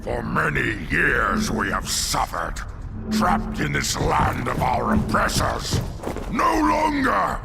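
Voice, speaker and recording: An actor voicing a brutish, bitter troll warlord in a video game, gravelly and bellowing. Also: male voice, medium pitch, very animated, slow, over loud music, full character voice. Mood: bitter, triumphant. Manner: bellowing, declamatory. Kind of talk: video game villain acting.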